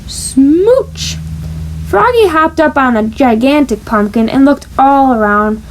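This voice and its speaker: A woman's voice: sits high, lively intonation, slow, rough recording. A woman reading a children's storybook aloud, playfully stressing the sound-effect words. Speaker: storybook reader.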